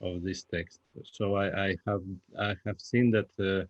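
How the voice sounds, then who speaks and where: A man with a Spanish accent, a native Spanish speaker, talks unscripted in a discussion, haltingly searching for words in his second language. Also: deep voice, steady tone, dry recording. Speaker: playwright. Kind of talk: unscripted post-show discussion. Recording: dull, muffled lo-fi audio.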